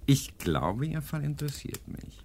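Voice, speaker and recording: An actor playing a self-assured male professor detective, measured, condescending and drily amused, in a radio crime drama. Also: fairly high pitch, sing-song, dark tone, slight background noise. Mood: dry, intrigued.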